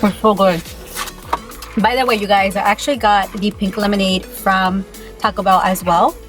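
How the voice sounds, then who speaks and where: Young woman, casual vlogger chatting between bites, mid-pitched voice, soft music underneath. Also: steady tone, normal speed. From Peru. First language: Spanish. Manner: chatty, keeps saying "you guys", talks while eating. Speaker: beauty YouTuber. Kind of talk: casual mukbang vlog chat.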